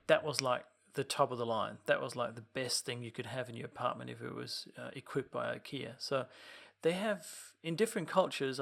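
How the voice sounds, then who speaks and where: Podcast co-host, man, gravelly mid-pitched voice, talkative explainer. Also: fairly bright tone. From Denmark. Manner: chatty, explains at length with restarts. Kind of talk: casual chat.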